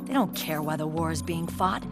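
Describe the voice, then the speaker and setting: A low-voiced young woman as a military commander in a game cutscene. She is composed and articulate, with serious, measured delivery and a guarded pride. Music plays prominently behind her. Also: animated. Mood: composed, businesslike. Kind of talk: dramatic video game cutscene acting, expository.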